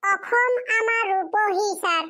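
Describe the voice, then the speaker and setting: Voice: very high-pitched, slow pace, bright, forceful tone, exaggerated voice. A performer voicing an obedient young pupil in a cartoon classroom, deferential and reciting.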